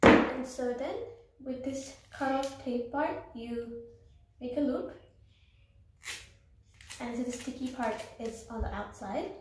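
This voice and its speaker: A woman's voice: high voice, takes it slowly, long pauses, very noisy. Chatty young woman in echoey room. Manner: chatty and instructive, dropping to quiet asides while working. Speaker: vlogger. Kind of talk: clean-with-me tutorial. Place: small carpeted room indoors. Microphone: camera at arm's length.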